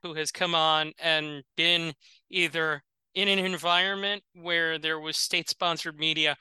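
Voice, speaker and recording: A man's voice: on the high side, fairly even intonation, measured pace, pushed and bright, clear, resonant tone, no echo. A man hosting a podcast, asking curious, upbeat questions. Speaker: podcast host. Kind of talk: interview questions.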